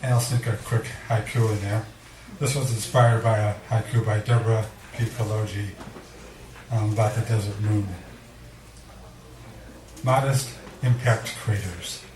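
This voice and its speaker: A man giving a live poetry reading, speaking in a measured, deliberate way with dramatic pauses and casual introductions. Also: on the low side, fairly even intonation, far from the mic, background noise. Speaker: poet reading at a poetry event. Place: indoor cafe venue before a live audience. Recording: echoey room recorded from afar, audience murmur.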